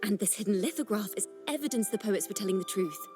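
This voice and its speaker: A woman voicing a gentle, earnest young bard in a video game story, speaking in a measured, contemplative and poetic way. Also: mid-range voice, lively intonation, natural voice. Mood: pensive. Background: background music.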